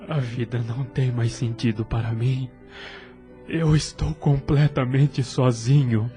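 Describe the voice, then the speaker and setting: An actor with a Brazilian accent playing a courteous, lonely, grieving widower in an audio drama, speaking in a formal, halting way. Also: male voice, mid-range voice, steady tone, dark tone, faint music behind, lightly acted. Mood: grieving, desolate. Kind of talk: Portuguese-language audio drama acting.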